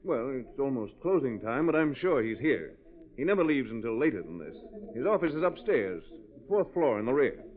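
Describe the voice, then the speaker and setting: An actor playing a proper, guarded department store manager in a 1940s radio drama, speaking formally with slight hesitation. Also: male voice, mid-range voice, sing-song, normal speed, close to an everyday voice. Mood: guarded, helpful. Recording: tinny, band-limited old radio recording.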